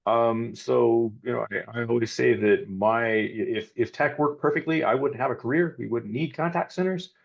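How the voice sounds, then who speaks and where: Man on a remote call, gravelly and fairly high, lo-fi audio, chatty. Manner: measured, chatty, many fillers. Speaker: panelist. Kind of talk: casual panel introduction. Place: remote, joining online.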